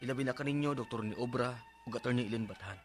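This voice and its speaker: A man's voice: mid-range voice, very fast, faint music behind, lightly acted. A man playing a strict, short-tempered, aggrieved stepfather in a radio drama. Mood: earnest narrating. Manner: scolding, emphatic. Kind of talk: Philippine radio drama acting.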